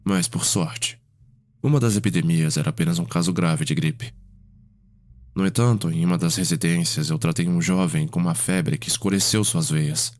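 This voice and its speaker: A man playing a composed, fond vampire healer and elder brother in an ASMR roleplay, speaking in a measured, formal way. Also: deep voice, darkish tone, lightly acted. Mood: composed, weary.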